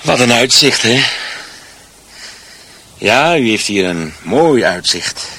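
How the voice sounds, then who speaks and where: A man's voice: medium pitch, very animated, slow, pushed and bright, slightly rough, full character voice. An actor playing a chatty, fussy middle-aged husband in a radio drama, proud of his home and often stammering and repeating himself. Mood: proud, talkative. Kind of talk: Dutch radio drama acting.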